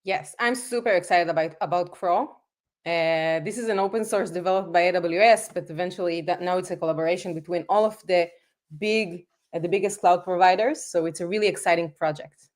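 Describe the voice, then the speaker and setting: A woman's voice: fairly low pitch, steady tone, fairly quick, darkish tone. A woman from Israel co-hosting a tech live stream, giving short, simple answers in casual chat. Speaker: co-host.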